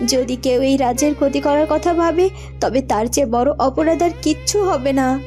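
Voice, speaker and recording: A woman's voice: high-pitched, moderate pace, mellow, faint music behind, close to an everyday voice. Woman as a solemn, stern young fairytale princess. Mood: firm. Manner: solemn, declamatory. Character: young princess, compassionate but stern ruler. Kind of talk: children's fairytale cartoon acting.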